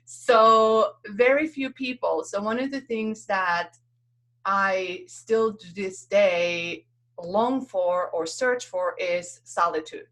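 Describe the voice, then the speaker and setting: A woman from Finland whose first language is Finnish speaks at a medium pitch. She talks as a guest on a podcast, telling stories reflectively and conversationally. Her manner is earnest, with light laughter through it. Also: takes it slowly, fairly bright tone.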